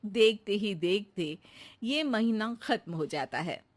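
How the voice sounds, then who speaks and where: A woman's voice: mid-range voice, animated, slow. A woman reading scripted narration for a comic bedtime story.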